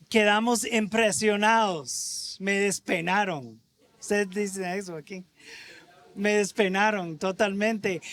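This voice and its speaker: A middle-aged American man, a native English speaker, preaching as a guest in halting Spanish with frequent restarts. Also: sits high, no echo. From United States. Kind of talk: sermon.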